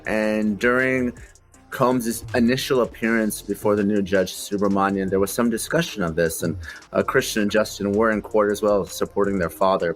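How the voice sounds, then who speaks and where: Low-voiced man giving measured news commentary. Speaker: expert commentator. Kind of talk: interview commentary.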